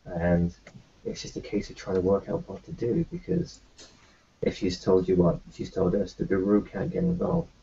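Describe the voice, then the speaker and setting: A man in a tabletop roleplay, talking in character with a gravelly, raspy voice in a halting, reassuring way. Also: deep voice, expressive, mellow. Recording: lo-fi muffled recording.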